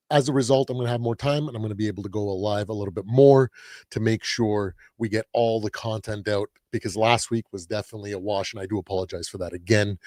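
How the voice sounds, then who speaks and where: A man hosting a casual sports talk livestream, his voice a little raspy while he gets over a cold, rambling with lots of restarts and fillers. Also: on the low side, darkish tone, dry recording, clean studio sound. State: recovering from a cold. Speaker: host.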